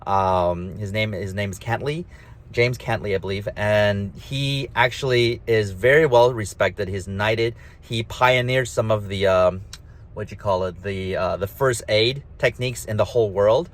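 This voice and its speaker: A man's voice: on the low side, unhurried. A man hosting a solo talk, rambling casually with a nasal, slightly mumbly voice, lots of fillers and emphatic asides. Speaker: host.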